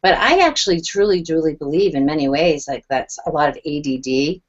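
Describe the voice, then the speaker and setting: A woman's voice: low-pitched, animated, a little fast. A woman speaking earnestly on a video call panel, often restarting her sentences as she searches for words. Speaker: guest speaker on EFT tapping. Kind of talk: casual online panel chat. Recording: dull lo-fi video call audio.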